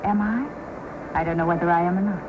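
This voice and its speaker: An actress playing a coy, guarded frontier entertainer in an old-time radio drama. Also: female voice, lowish voice, steady tone, quick pace, close to an everyday voice. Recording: noisy old radio recording.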